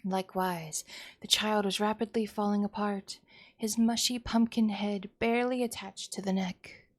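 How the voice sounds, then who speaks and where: A woman's voice: medium pitch, steady tone, normal speed. A woman streamer reading a horror visual novel aloud as a dramatic narrator.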